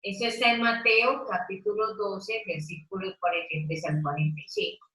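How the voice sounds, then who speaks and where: Woman leading a group lesson: slow, prompting questions, sits back from the mic in a roomy space. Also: mid-range voice, fairly even intonation, pushed and bright. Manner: slow, questioning, coaxing listeners to take part. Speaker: Bible study leader. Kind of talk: interactive Bible teaching. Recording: echoey room, mic at a distance.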